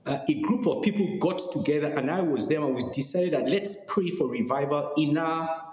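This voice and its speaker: A middle-aged man with a Nigerian accent and a fairly high voice speaks in a measured, explanatory way, stopping often on "um" and "uh". His voice sits in a roomy, echoey space with a long reverb tail and a faint hiss underneath. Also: a little mellow. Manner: measured, explanatory, with frequent "um" and "uh" pauses. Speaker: guest. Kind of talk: podcast interview.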